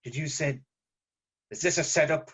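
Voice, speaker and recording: A man playing a cautious, businesslike assassin in his late thirties at an amateur table read, clipped, deadpan and suspicious. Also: fairly high pitch, moderate pace, husky. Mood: suspicious, agitated. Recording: dull lo-fi voice recording.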